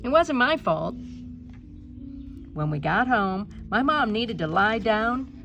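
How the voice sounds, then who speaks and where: A woman reading a children's storybook aloud in an expressive narrating style, with some creak in the voice. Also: a little high, slow, lo-fi sound, a little hiss or hum. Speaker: storybook reader.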